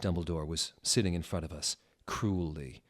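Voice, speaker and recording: A man narrating a comic dramatic reading of a story in a mock-serious manner, pronouncing every odd word deliberately. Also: sits low, steady tone.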